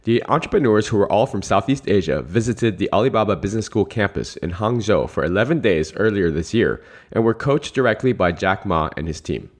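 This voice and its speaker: Male podcast host for interview show, lower register. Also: expressive, brisk. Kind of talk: scripted intro and casual interview.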